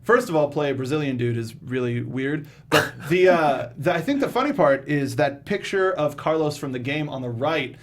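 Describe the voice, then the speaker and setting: A man's voice: a little high, lively intonation, normal speed, hardly any pauses, a little hiss or hum. A man hosting a casual film discussion, informal and often groping for his words. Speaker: talk show host.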